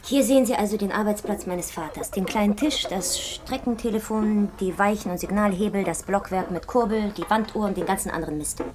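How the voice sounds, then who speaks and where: A woman's voice: mid-range voice, brisk, hardly any pauses, natural voice. An actress playing a dry, unimpressed woman showing a visitor around in a satirical radio drama, speaking matter-of-factly over noise. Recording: loud background noise.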